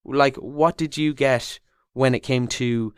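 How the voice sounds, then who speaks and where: A man's voice: medium pitch, steady tone, takes it slowly, smooth, no echo, studio quality. A young man with an Irish accent, chatting casually as a trainee co-presenter on a radio show, hesitant with plenty of fillers and restarts.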